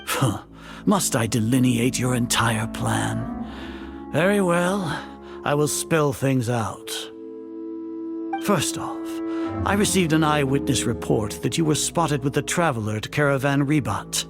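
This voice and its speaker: Gravelly, pompous old sage villain. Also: male voice, mid-range voice, lively intonation, over music. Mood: accusing. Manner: pompous, deliberate, condescending. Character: haughty older male grand sage, cold scheming villain. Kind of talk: video game voice acting.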